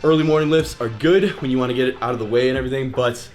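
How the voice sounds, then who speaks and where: A man's voice: mid-range voice, racing, darkish tone, faint music behind. A nineteen-year-old man with an American accent, chatting casually and informally as he answers viewer questions in a fitness vlog.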